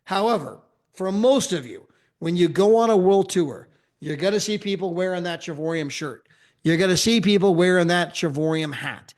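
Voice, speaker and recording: An upbeat American man with a fairly high voice pitches travel deals to a crowd of listeners. He talks energetically and keeps tossing in "right?" and "okay?" to hold their attention. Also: normal speed. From United States. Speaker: travel club presenter. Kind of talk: sales pitch presentation.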